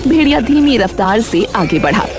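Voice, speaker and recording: A woman narrating a scripted animated story as a storyteller. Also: on the high side, moderate pace, noisy background.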